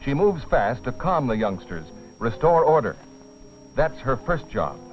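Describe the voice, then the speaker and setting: A man narrating a dramatized film in a grave, deliberate scripted style with dramatic pauses. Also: mid-range voice, very animated. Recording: dull old film soundtrack, music behind. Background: dramatic music.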